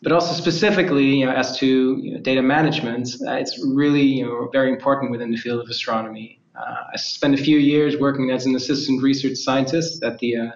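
Male guest, mid-pitched, filler-heavy, echoey lo-fi remote audio. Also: fast talker, few pauses. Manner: steady, with frequent "you know" and "uh". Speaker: lead data scientist guest. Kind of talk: casual interview answers. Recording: roomy, dull remote audio.

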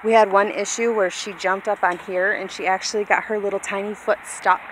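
A woman's voice: steady tone, brisk. A woman in her thirties chatting informally and self-deprecatingly to viewers, recorded on a handheld phone outdoors. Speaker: vlogger, hobby farmer. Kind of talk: casual rambling vlog chat to viewers. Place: outdoors in an open grassy yard. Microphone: handheld phone at arm's length. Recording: windy outdoor phone recording, vehicle-like rumble. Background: wind and outdoor noise.

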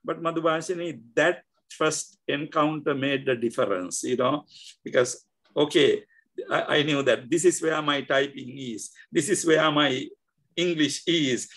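An older man with a Sri Lankan accent, speaking as an interview guest over a video call, halting with many fillers and restarts. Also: on the high side. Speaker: guest scholar. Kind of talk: reflective interview talk. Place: indoor home room.

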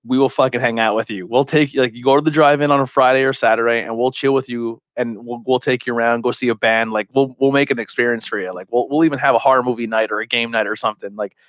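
A man's voice: medium pitch, fairly even intonation, quick pace, few pauses, fairly bright tone, no room sound. An American man chatting casually on a podcast, telling rambling, profanity-laced stories. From Pennsylvania, US. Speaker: podcast host. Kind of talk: casual chat, anecdotes. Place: small curtained podcast room. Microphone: podcast microphone, headphones.

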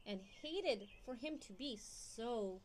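A young woman reads a children's picture book aloud at an unhurried, slow pace. Her voice sits fairly high and is expressive, as she engages little listeners. There is some background noise in the recording. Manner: expressive, asks listeners questions. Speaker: storytime reader.

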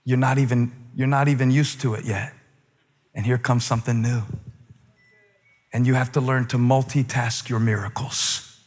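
A man's voice: mid-range voice, steady tone, moderate pace, long pauses. A male pastor delivering a sermon and prayer with rhythmic repetition that builds to emphatic peaks. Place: large church auditorium. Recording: long reverb of a large hall, soft music underneath. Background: faint music.

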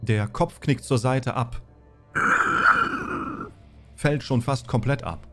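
A male game master guides a tabletop horror session. His voice sits at a middling pitch. He describes and explains deliberately, with soft music underneath. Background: background music.

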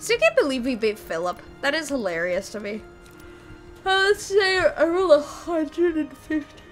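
Young female streamer, gleeful and sweary, lively pitch, faint music under her. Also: normal speed. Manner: gleeful, laughs often, swears casually. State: voice slightly strained. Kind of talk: casual gameplay commentary. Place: small room at a gaming desk. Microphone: desk mic, webcam at computer. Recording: game music faintly behind.

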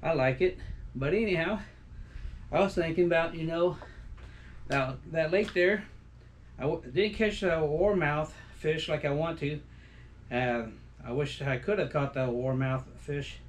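A man's voice: a little high, unhurried, long pauses, slight background noise. A middle-aged man chatting loosely at home for his outdoor vlog, folksy and full of restarts and fillers. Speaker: outdoor vlogger. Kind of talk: rambling casual vlog chat. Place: small decorated home room, indoors.

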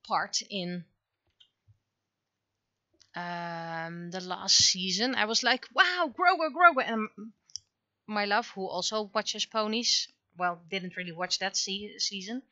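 A woman's voice: medium pitch, sharp tone, no echo, dull audio. A middle-aged woman, a native Dutch speaker, chatting casually and thinking aloud as she draws on a livestream. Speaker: streamer and webcomic artist. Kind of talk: casual livestream chat while drawing. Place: indoors at a computer.

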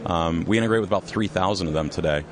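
Fast-talking low-voiced man, trade expo guest. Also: crowd noise. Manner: measured, explanatory, with frequent restarts. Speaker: company co-founder and CEO, interview guest. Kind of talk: conversational business interview.

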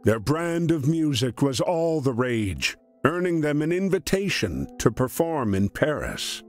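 Slow, measured male storyteller. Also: on the high side, sing-song, darkish tone, soft background music. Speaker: narrator. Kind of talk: scripted storytelling narration of a war video game.

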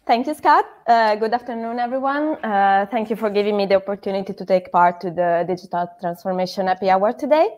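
A young woman with an Italian accent, an MBA student giving an earnest, measured self-introduction on a video call. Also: mid-range voice, a little mellow. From Italy. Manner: earnest, measured, frequent uh pauses. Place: home living room. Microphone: video call with headset.

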